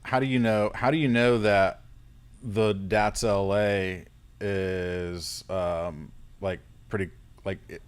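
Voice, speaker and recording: A man with a fairly low voice hosts a relaxed, informal interview. He searches for his wording as he asks questions, often stopping and starting again. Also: clean tone. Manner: halting, searching questions with restarts. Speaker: interviewer, host. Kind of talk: casual interview chat.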